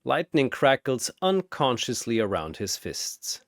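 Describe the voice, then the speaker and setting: A man narrating a fantasy battle in a bombastic, theatrical style with drawn-out emphasis, like an arena announcer. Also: medium pitch, big pitch swings, dry recording, studio quality.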